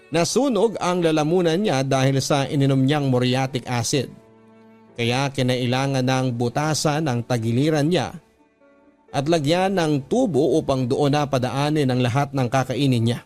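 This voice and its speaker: A man reads a confessional letter as scripted dramatic narration, in a measured, earnest storytelling manner. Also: medium pitch, darkish tone. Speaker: radio drama narrator reading a listener's letter. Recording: clear music bed behind the voice. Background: background music.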